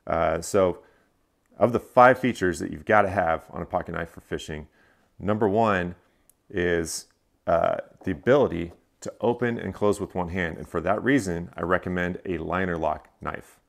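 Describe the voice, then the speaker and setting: A man's voice: sits low, moderate pace, gravelly. A man in his thirties casually reviewing gear in a living room, explaining step by step with frequent fillers. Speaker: fishing channel host. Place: living room, indoors, seated on a couch.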